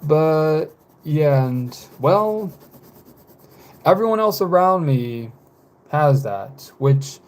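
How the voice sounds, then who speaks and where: Man, mid-twenties, live streamer, unhurried and rambling, lots of fillers and little laughs. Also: a little high, animated. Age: 20s. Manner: rambling, hesitant, many fillers and self-corrections; frequent soft laughs. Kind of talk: casual chat with live viewers.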